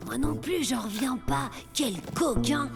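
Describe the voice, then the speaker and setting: Une comédienne de dessin animé qui joue une équipière pirate vive et sensée. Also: female voice, high voice, a little slow, creaky, music in the background. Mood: startled. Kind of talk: children's cartoon dubbing.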